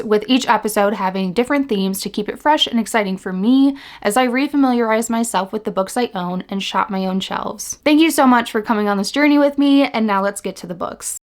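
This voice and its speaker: A young woman reads a scripted voice-over intro in a measured, earnest tone. She speaks evenly and deliberately. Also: on the high side.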